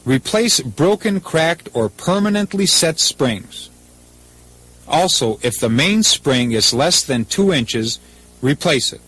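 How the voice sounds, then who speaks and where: A man's voice: on the high side, lively intonation, slow, fairly bright tone, breathy edge, poor audio. A man with an American accent reading scripted instructions as a 1980s military training film narrator, in a formal, methodical, impersonal manner.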